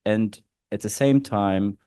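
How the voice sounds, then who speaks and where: A man's voice: on the low side, slow pace, mellow, clear, resonant tone, no room sound, studio quality. A man from Germany with a German accent, speaking as in-house counsel on a panel, hesitant with many fillers. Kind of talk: panel talk at a law event. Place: large historic hall.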